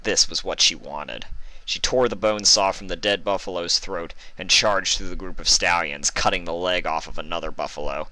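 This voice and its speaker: Low-voiced man narrating a story dramatically. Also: normal speed, pushed and bright, dry recording, low-quality recording. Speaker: fan fiction reader.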